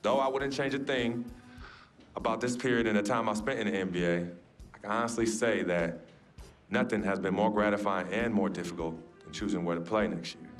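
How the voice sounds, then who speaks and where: A man's voice: on the low side, fairly even intonation, brisk, faint music behind, close to an everyday voice. An actor playing an earnest young male basketball star in a video game cutscene, laid-back with his family and formal at a press conference. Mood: formal speech. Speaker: pro basketball player.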